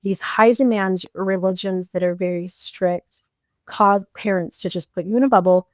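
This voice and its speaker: A woman's voice: medium pitch, fairly even intonation, moderate pace, no room sound. A woman in her fifties hosting a podcast, speaking earnestly and explaining her thoughts in casual conversation. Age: 50s. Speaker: podcast host.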